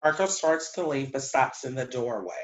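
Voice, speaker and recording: A man's voice: mid-range voice, lively intonation, normal speed, close to an everyday voice. A man as a neutral narrator, reading out stage directions at a play reading. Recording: echoey room.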